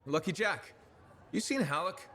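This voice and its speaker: An actor playing an earnest, loyal freelancer and peacemaker in a video game, with a conversational manner. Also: male voice, sits high, animated, measured pace, fairly bright tone, faint music behind. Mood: questioning. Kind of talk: dramatic video game cutscene acting.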